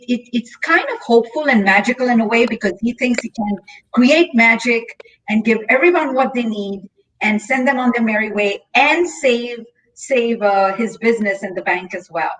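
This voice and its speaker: A woman's voice: mid-range voice, a bit bright. A middle-aged woman talking thoughtfully and conversationally as a panelist on a video call from home. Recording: distant mic, noisy home video call.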